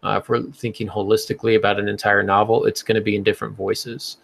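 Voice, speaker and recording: A man's voice: fairly low pitch, flat delivery, fast, gravelly, fairly bright tone. A man hosting a casual panel discussion, explaining things in an instructive way. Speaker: podcast host.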